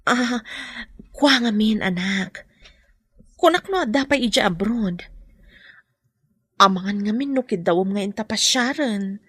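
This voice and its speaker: A woman playing a gentle, burdened mother in an Ilocano radio drama. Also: mid-range voice, animated, measured pace, pauses often, breathy edge, no room sound. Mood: gentle, evasive.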